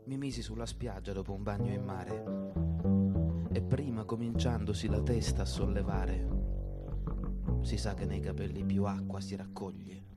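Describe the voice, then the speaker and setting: Attore che fa un poeta sognatore e spaccone, con chitarra. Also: male voice, on the low side, level tone, moderate pace, lightly acted. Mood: lofty, dreamy boasting. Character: swaggering dreamer poet boasting he fell from the moon. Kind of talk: theatrical stage monologue with guitar. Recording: loud plucked guitar music alongside. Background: guitar music.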